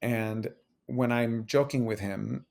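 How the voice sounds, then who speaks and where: A man who is a guest on an interview show, talking casually, animated, restarting and repeating phrases. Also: medium pitch, takes it slowly, creaky. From Cuban-American. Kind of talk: casual conversation. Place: New York City.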